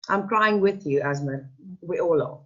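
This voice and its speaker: A woman's voice: fairly low pitch, moderate pace, a bit bright, highs cut off. A woman, a radio presenter, chatting and reassuring a caller in a supportive way. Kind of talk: phone chat.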